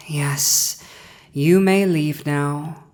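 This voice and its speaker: A woman's voice: low-pitched, steady tone, a little mellow. A woman playing a proud villainous dragon who has seized a human throne, speaking formal, archaic lines in a scripted audio roleplay. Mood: remorseful, subdued. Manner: formal archaic diction, haughty, deliberate.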